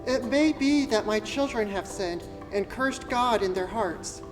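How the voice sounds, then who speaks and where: A woman's voice: medium pitch, measured pace, lightly acted. A woman playing the pious, blameless Job in a church Bible drama, reciting earnestly and reverently. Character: Job, pious blameless man of ancient times, devout. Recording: reverberant hall, music behind.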